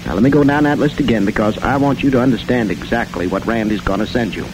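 American man, folksy 1960s radio pitchman, noisy recording. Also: fast talker. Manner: folksy, colloquial salesmanship. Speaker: radio DJ reading mail-order record ads. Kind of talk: 1960s radio DJ patter and ad-libbed sales pitch. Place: radio studio. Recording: noisy 1960 AM radio aircheck.

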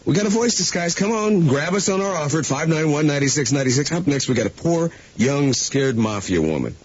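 A man's voice: a little high, animated, fairly quick, a little hiss or hum. An American man hosting a morning radio show with top-40 DJ patter and banter. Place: radio studio. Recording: dull aircheck tape with music behind.

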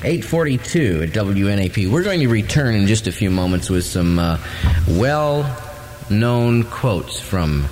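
A man's voice: on the low side, animated, measured pace, darkish tone, faint music behind. A man hosting morning radio with loose, ad-libbed DJ chat.

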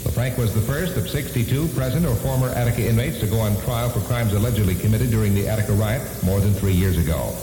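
Fast-talking low-voiced male radio newsreader. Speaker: radio news anchor. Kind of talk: scripted news bulletin. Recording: hissy 1970s AM radio, reverberant.

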